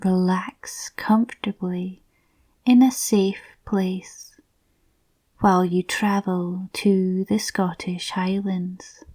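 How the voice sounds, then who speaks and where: A woman softly narrating a scripted guided visualisation in a soothing, breathy, near-whispered voice. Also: lowish voice, fairly even intonation, takes it slowly.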